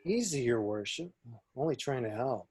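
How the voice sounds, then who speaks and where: A man's voice: medium pitch, animated, normal speed, a little mellow, husky, dry recording, clean studio sound. A man playing a cocky, teasing roguish pilot in an amateur script reading, with casual delivery. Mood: wry, teasing. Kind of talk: amateur script table read.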